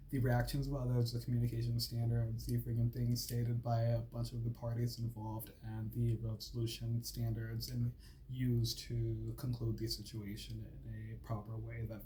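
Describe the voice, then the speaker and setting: A man's voice: mid-range voice, warm tone, a little hiss or hum, rough recording. A man talking casually to his viewers and then reading a school assignment aloud, full of fillers and stumbles. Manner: filler-heavy, says "like" and "freaking" often, stumbles and restarts while reading. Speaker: channel host.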